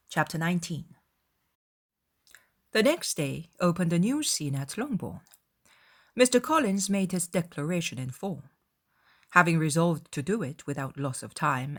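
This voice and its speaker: A woman narrates a dramatized literary audiobook. Her voice sits a little low. She tells the story in formal, measured phrases.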